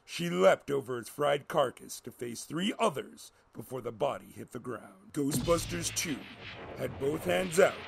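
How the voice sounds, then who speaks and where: A man's voice: mid-range voice, lively intonation, measured pace, vocal fry, slightly rough. A man reading a scripted story as a dramatic narrator.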